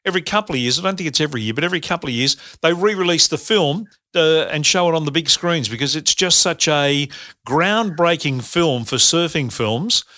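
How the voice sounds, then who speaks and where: A man's voice: fairly high pitch, expressive, fairly quick, bright, forceful tone, no room sound. A man co-hosting a radio show, chatting and introducing the next segment. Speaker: radio co-host. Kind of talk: radio talk.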